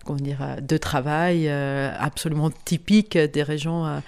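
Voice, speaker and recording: Swiss-woman, halting guest talk. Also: deep voice, fairly even intonation, a little mellow, clean tone. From Italian-speaking Switzerland. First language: Italian. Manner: halting, many fillers and restarts, laughs often. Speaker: guest lecturer. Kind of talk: hesitant conversational interview.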